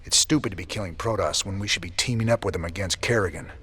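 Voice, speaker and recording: An actor playing a world-weary, principled middle-aged rebel commander with a laconic, folksy Southern US drawl in a video game. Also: male voice, fast talker, a bit bright. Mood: dry, grim. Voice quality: low, gravelly. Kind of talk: video game cinematic acting.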